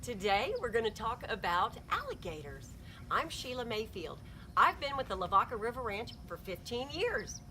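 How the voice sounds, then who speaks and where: A woman's voice: on the high side, moderate pace, slight background noise. A middle-aged woman who works as a heritage interpreter, giving an upbeat, encouraging guided nature talk outdoors to a small group. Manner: upbeat, encouraging, lively speaker. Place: outdoors at a ranch.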